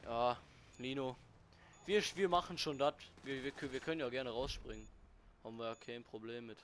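Excitable young man gaming. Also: mid-range voice, normal speed, a little hiss or hum. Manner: excitable, swears casually, bursts of shouting. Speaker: gaming co-host (Max). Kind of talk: casual gameplay commentary.

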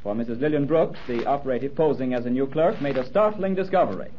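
A man acting as the solemn, measured narrator of a 1930s radio crime drama. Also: medium pitch, a little hiss or hum, natural voice. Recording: dull 1930s radio recording with music.

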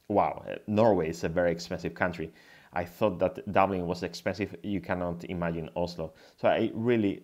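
Male presenter, low pitch, native Spanish speaker with Spanish accent, explanatory slide-talk delivery. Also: darkish tone. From Spain. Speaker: guest lecturer, tech founder.

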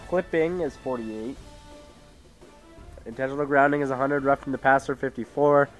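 Sick teen gamer from Idaho, sore throat, rambling home commentary on a headset, mid pitch. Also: male voice, steady tone, normal speed, faint music behind, low-quality recording. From Idaho, USA. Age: teens. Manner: rambling, self-interrupting, reads out settings. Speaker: gaming YouTuber. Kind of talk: casual gameplay commentary. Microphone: headset mic with tangled cords.

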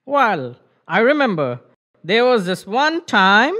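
Man playing a slow-talking, dreamy old grandpa fisherman. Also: high voice, very animated, sharp tone, dull audio, exaggerated voice. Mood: storytelling. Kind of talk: puppet show storytelling for children.

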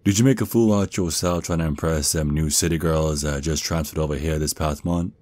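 A man playing a protective werewolf father and gruff sergeant with a Southern US accent, in an ASMR roleplay with a folksy drawl. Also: very deep voice, fairly even intonation, darkish tone, no room sound. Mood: alarmed, indignant. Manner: doting, folksy, slow drawl with pet names.

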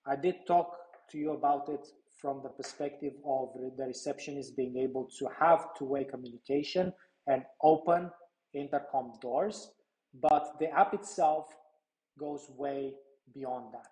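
A man in his thirties giving a product demo walkthrough in a measured, explanatory manner. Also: mid-range voice, a little mellow. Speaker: product presenter. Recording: echoey room sound.

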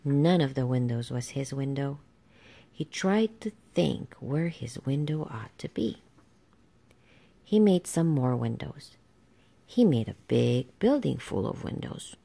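Woman, children's story narration, slow pace, gravelly creak, read aloud. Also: extremely low pitch, animated, mellow. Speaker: storybook reader.

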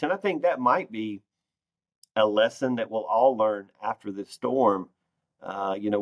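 Low-voiced man, dull call audio. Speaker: farm organization president being interviewed. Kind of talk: conversational interview answers. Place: wood-paneled conference room indoors. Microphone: video call, computer microphone.

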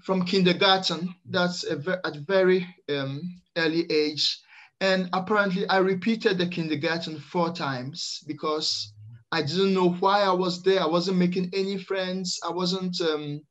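Male interview guest, middle-aged, fairly high register, measured and halting personal account over a video call. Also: a bit bright, lo-fi sound. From Ghana, the Netherlands and France. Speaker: interview guest, early years teacher. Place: small plain room indoors, on a video call. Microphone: computer microphone on a video call.

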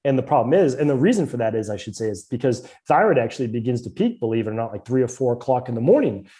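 Mellow-voiced Boston man explaining health. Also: medium pitch, very animated, fast talker. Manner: earnest, detailed, fluent medical explaining with frequent restarts. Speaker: naturopathic doctor, podcast guest. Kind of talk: explanatory interview talk.

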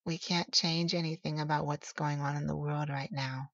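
A woman playing a tender, teasing boyfriend who soothes a sleepless lover in an intimate, slow ASMR roleplay. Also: sits low, flat delivery. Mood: soothing, reassuring. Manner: soothing, affectionate, playful. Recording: roomy, slightly dull home recording.

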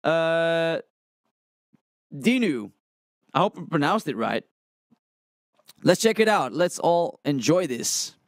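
Young male reaction streamer, unhurried delivery, gravelly, dry close mic. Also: on the high side, big pitch swings, dry recording. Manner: unhurried, thinking aloud, reads chat messages. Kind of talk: casual livestream reaction chat. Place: small room with colored lights, indoors. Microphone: studio condenser mic on a stand, headphones on.

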